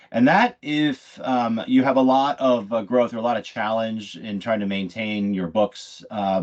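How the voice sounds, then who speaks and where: Low-voiced man presenting software, muffled audio. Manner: explanatory, conversational, pausing mid-phrase. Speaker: software director giving a product demo. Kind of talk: live software demo presentation.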